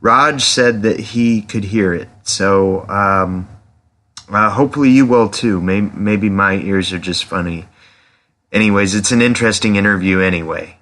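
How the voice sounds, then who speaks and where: A man hosting a podcast in casual chat, speaking in a hesitant, halting way. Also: lowish voice, fairly bright tone. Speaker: podcast host, interviewer.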